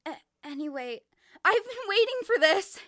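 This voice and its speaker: A woman voicing a loud, brash teenage girl inventor in a fan fiction reading, yelling at a higher pitch. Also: sing-song, normal speed, dry recording, clean studio sound, full character voice. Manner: stuttering then yelling.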